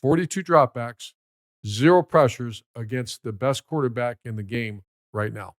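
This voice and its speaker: A man's voice: medium pitch, normal speed, no echo, studio quality. A man, a football coach co-hosting a sports podcast, breaking down plays emphatically and repeating key numbers. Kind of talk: casual sports analysis.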